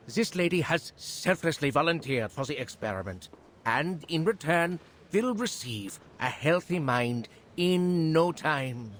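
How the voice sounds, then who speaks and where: Pompous game doctor presenting to a crowd, formal and theatrical, voice goes way up and down. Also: male voice, on the high side. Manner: grandiloquent, formal. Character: showy male physician presenting a demonstration to an audience. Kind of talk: theatrical public address. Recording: clear background ambience noise.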